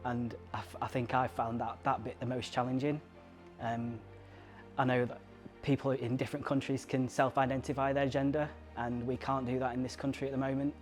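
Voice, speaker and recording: A man from Surrey speaking earnestly and emotionally in an interview, pausing and restarting as he goes. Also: medium pitch, flat delivery, a little mellow, faint music behind. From Surrey, England. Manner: earnest, halting, moved. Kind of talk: emotional personal testimony.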